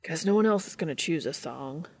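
Woman playing sarcastic older brother in an audiobook: gruff, grumbling, curt, low and creaky. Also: lively intonation, fairly quick, dry recording, heavily acted. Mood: defensive.

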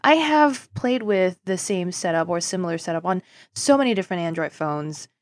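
A woman from Singapore chatting casually as a podcast guest, informal and animated in manner. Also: on the low side, moderate pace, dry recording. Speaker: podcast guest, tech journalist. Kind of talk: casual chat.

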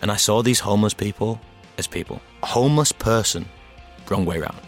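A man from Bolton with a Bolton accent, a podcast guest telling stories in animated casual chat and acting out his own quotes. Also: on the low side, normal speed, faint music behind. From Bolton, England.

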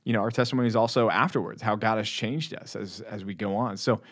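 A man's voice: on the low side, fairly even intonation, fast talker, a bit bright. Easygoing American man co-hosting a podcast. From Texas, United States. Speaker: podcast co-host.

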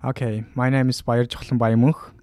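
A man's voice: mid-range voice, moderate pace, dry recording. A young man, introducing himself as an exchange student. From Mongolia. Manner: steady self-introduction, one restart.

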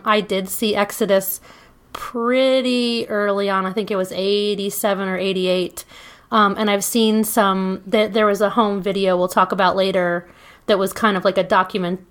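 A woman's voice: mid-range voice, a bit bright. A middle-aged woman co-hosting a casual podcast, telling a band's history with chatty asides and frequent ums. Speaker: podcast co-host.

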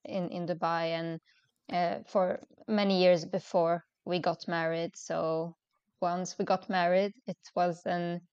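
A woman's voice: fairly low pitch, little pitch movement, a little slow, a little mellow, smooth, dry recording, lo-fi sound. A young woman from Sweden, a guest on a podcast, speaking hesitantly over a call with many ums and restarts. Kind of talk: casual interview chat. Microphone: remote call.

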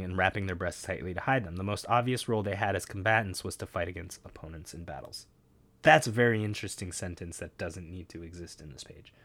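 Low-voiced man reading and riffing. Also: fairly bright tone, no room sound. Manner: reads passages aloud and breaks off with wry asides. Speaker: podcast co-host. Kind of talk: casual chat, reading aloud from a web page.

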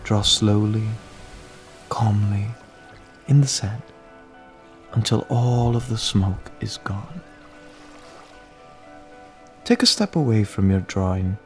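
A man performing a gentle, caring relaxation guide with a low voice. He leads a guided meditation very slowly, calm and reassuring, leaving room between phrases. Soft music plays behind him. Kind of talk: guided meditation, slow soothing script.

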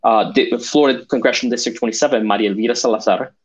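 A man's voice: mid-range voice, racing, breathy edge. A man from Venezuela talking passionately about politics, with frequent restarts and fillers. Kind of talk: political discussion.

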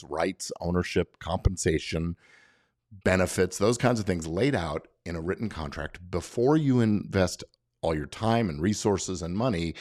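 Male podcast host, low-pitched voice, conversational explainer, dry close sound. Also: a little mellow, no echo. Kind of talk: informal explanatory podcast monologue.

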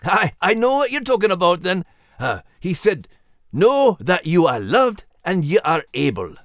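An actor voicing a male Scottish terrier dog, gruff but tender and teasing, with a Scottish accent and folksy dialect. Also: mid-range voice, sing-song, measured pace, no room sound, studio quality. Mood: wistful. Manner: folksy, warm dialect talk. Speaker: podcast co-host character. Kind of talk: scripted character banter.

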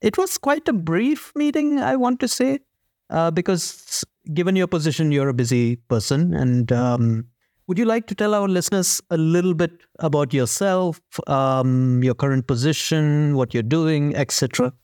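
A man's voice: fairly high pitch, moderate pace, darkish tone, no echo, studio quality. A young man hosting an interview podcast, chatting casually in a friendly, rambling way full of fillers. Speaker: podcast host.

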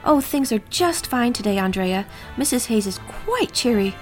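A woman's voice: fairly high pitch, expressive, normal speed, soft background music, natural voice. A woman playing a chatty, busy household caregiver in an audio drama, obliging in manner. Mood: cheerful, chatty. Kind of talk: audio drama phone conversation.

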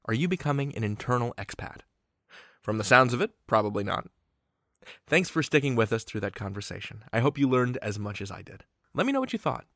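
A man hosts a podcast in a very animated voice, his pitch moving widely as he reads his script. The sound is dry and close, with music underneath. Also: no room sound. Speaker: podcast host. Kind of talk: scripted podcast narration and interviewing.

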